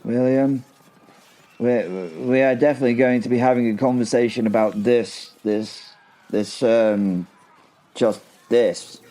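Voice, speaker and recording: A man's voice: medium pitch, a little slow, dark tone, natural voice, low-quality recording. A man playing a battle-hardened medieval knight in an audio drama, a loyal comrade who swears and stammers. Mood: flustered disbelief.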